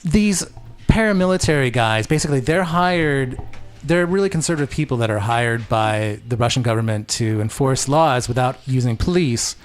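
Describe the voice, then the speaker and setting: A man's voice: mid-range voice, expressive, normal speed. A man hosting a podcast in a radio studio, chatting casually and rambling, with lots of "like" and "um". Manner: rambling, heavy use of "like" and "um". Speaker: podcast host. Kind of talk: casual chat. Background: faint background music.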